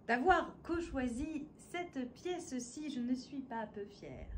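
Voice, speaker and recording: A woman performing a spoken-word poem in a theatrical, declamatory way, with deliberate phrasing, dramatic pauses and emphasis. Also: high voice, animated, a little hiss or hum. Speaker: poet and stage performer.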